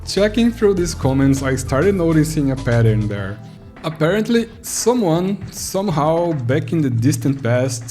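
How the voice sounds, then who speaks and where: A man's voice: on the high side, warm tone. A Brazilian man in his thirties whose first language is Portuguese, narrating an earnest retrospective video in English. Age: 30s. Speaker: YouTuber.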